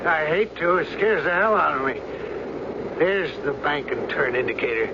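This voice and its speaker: A man's voice: fairly high pitch, lively intonation, moderate pace, background noise. An actor in a radio drama playing an anxious man in his sixties, a doctor forced to fly a jet, with a plain-spoken, hesitant manner. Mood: frightened. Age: 60s. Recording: muffled radio recording, loud aircraft drone.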